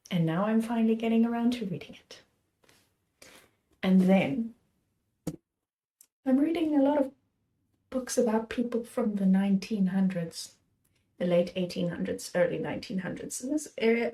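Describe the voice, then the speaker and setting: Slightly hoarse woman rambling about books. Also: medium pitch, mellow, low-quality recording. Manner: rambling, chatty, self-correcting. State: slightly hoarse, a little sick. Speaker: book channel host. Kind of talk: casual chat about books.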